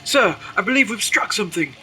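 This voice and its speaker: A man's voice: sits high, very animated, a little fast, heavy background noise. An actor playing a deferential, earnest young oarsman in an audio drama, speaking formally and dutifully. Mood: uneasy. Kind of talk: period audio drama acting.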